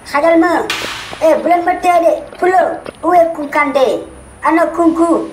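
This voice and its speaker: Actor as blustering male war veteran, cartoon, Senegalese accent, high-pitched, threatening, clear background noise. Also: a little slow. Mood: furious. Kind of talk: animated cartoon voice acting in comedy. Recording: echoey, distant-sounding, clearly noisy.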